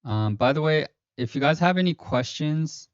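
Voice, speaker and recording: A man's voice: a little high, lively intonation, normal speed, clean tone, no room sound. A man hosting a finance livestream, talking casually with many fillers and self-corrections, his streaming voice a little robotic. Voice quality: slightly robotic-sounding stream voice. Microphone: streaming mic. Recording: dull lo-fi stream audio, dry.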